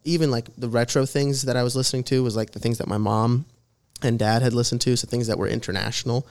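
A man's voice: mid-range voice, steady tone, fast talker, a little mellow. A man in his twenties from Jordan, a podcast guest telling his story in a loose, rambling way full of fillers. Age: 20s. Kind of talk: casual interview chat.

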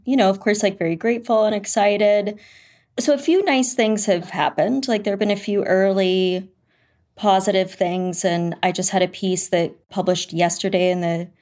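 A woman's voice: medium pitch, darkish tone. A woman author being interviewed, talking thoughtfully and candidly, searching for her words. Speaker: author and guest. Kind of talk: conversational interview.

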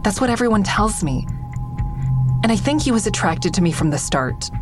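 A woman's voice: medium pitch, big pitch swings, moderate pace, over music, natural voice. An actress playing a defensive, sardonic woman comedian in an audio drama, wry and guarded, confiding her thoughts as narration. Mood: wistful, unsure. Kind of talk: full-cast audio drama acting with first-person narration.

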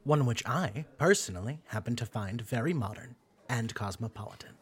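A man voicing an articulate adjunct professor with a dry, measured manner, in an audio drama. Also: medium pitch, animated, breathy edge. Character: wry, genteel adjunct professor.

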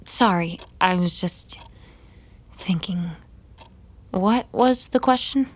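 An actress playing a guarded, sorrowful female vampire in an audio drama, with a measured, introspective, hesitant delivery. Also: lowish voice. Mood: guarded, evasive. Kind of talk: audio drama narration and dialogue.

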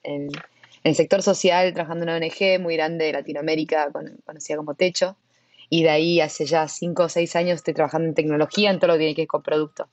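An Argentine woman talking as a guest and product lead in a relaxed interview, fairly fluent with small restarts. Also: deep voice, fast talker. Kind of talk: casual interview talk.

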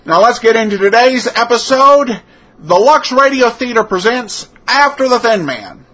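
A man introducing a podcast in a casual, halting way. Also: extremely high pitch, expressive, pushed and bright. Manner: halting, hesitant delivery. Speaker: podcast host.

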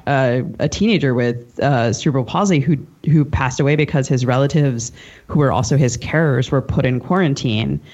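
Male co-host, average pitch, conversational and reflective questioning, slightly muffled recording. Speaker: podcast co-host interviewer.

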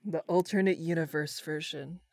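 A woman's voice: sits low, steady tone, moderate pace, darkish tone, no echo, studio quality. A woman chatting casually as a voice actor guest, with a playful, opinionated way of talking. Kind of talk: casual chat.

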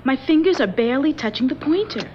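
A young woman, eager and a little spooked; high, animated; muffled vintage broadcast. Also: quick pace. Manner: hushed, whispering over the board. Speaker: radio drama actor. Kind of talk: 1970s radio drama acting. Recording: muffled old radio broadcast, faint hiss.

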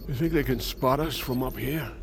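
A man's voice: mid-range voice, moderate pace, vocal fry, noisy background, natural voice. An actor playing an older man, a wry and nervous adventurer in an audio drama. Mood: wry unease.

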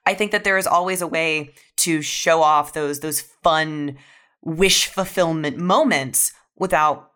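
A woman's voice: low voice, measured pace, pushed and bright, smooth. A woman, an audio fiction writer, explaining things articulately and informally in a casual discussion.

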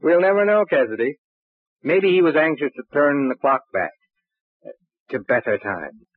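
A commanding police inspector in an old radio crime drama. His husky voice swings through firm, clipped phrases. The sound is narrow and muffled. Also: a little high, lively intonation, normal speed, no room sound, soft background music. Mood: matter-of-fact. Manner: authoritative, clipped, matter-of-fact. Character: brisk, commanding male police inspector questioning suspects. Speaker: actor. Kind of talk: 1940s radio drama acting.

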